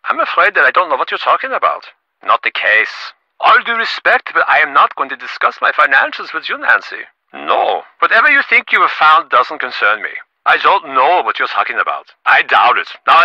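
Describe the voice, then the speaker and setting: A man's voice: fairly high pitch, bright, forceful tone, no room sound, muffled recording, exaggerated voice. A man voicing a smug, impatient rich businessman in a video game, glib and self-important, with stammering, sarcastic delivery. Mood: indignant, stern. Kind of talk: acted game dialogue.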